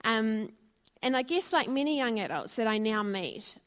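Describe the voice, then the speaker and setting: A woman with a New Zealand accent shares her faith story at a church gathering, in an earnest, reflective tone. She often pauses on ums and uhs as she speaks. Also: fairly high pitch, animated, fairly bright tone. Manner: earnest and reflective, with frequent fillers. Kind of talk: personal testimony talk.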